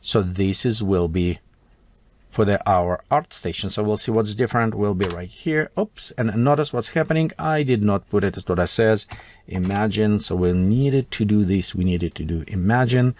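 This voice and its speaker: A man's voice: fairly low pitch, moderate pace, a little mellow, a little hiss or hum. Russian-accented man typing through a tutorial. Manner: thinks aloud while typing, with broken non-native grammar. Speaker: tutorial host. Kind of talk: screen-recorded software tutorial.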